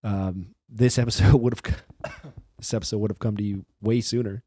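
A male podcast host from the restaurant industry, talking casually and rambling with restarts and swearing, his voice a bit hoarse as he recovers from the flu. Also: on the low side, fairly even intonation, normal speed, mellow, no room sound, studio quality. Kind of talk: casual solo intro monologue.

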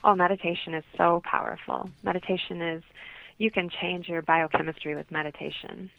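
A woman's voice comes through a thin, phone-like line. It sits slightly low and has a noticeable fry. She speaks as a holistic healer, explaining her answers to an interviewer. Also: fairly even intonation, a little fast, no echo. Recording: muffled, narrow phone-like line.

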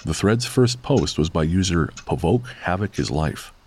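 A man's voice: low voice, expressive, normal speed, a little mellow, husky. A man reading scripted narration for a horror audio drama. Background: faint music.

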